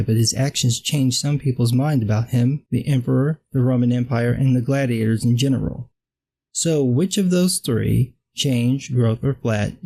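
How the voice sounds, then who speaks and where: A man's voice: medium pitch, vocal fry, mellow, slightly rough, rough recording. A man with a dry voice delivering a sarcastic, blunt scripted film commentary. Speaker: film critic vlogger.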